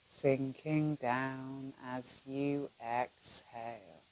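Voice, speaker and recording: A woman teaching yoga nidra, leading a guided relaxation in a soothing, gentle manner with long pauses between phrases. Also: rumbling low, steady tone, low-quality recording.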